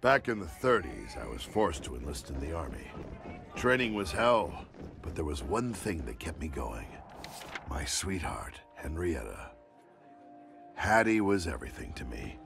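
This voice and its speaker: An actor playing a gruff, war-weary middle-aged American army captain in a video game, speaking in a gravelly, grim, hard-boiled way. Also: male voice, very deep voice, very animated, unhurried, exaggerated voice. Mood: wistful. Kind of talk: dramatic game narration and dialogue. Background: loud dramatic music.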